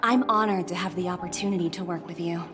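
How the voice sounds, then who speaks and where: A woman voicing a young, polite and sharp corporate executive in a video game, with a formal manner. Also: on the low side, fairly even intonation, quick pace, close to an everyday voice. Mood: formal, respectful. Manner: formal and deferential. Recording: background music behind voice.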